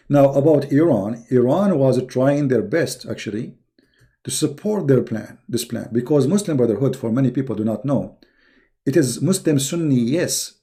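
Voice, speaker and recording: A man's voice: medium pitch, warm tone. A man from the Middle East, a native Arabic speaker with an Arabic accent, giving informal, unscripted political commentary as a live-stream host, emphatic and often repeating and rephrasing his points.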